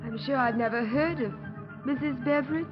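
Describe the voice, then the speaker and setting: A woman's voice: high-pitched, fairly quick, muffled recording, over music, close to an everyday voice. An actress playing a distraught, talkative middle-class British woman grieving her younger sister, in effusive 1950s radio drama style on an old recording. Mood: puzzled. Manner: effusive, rambling, melodramatic.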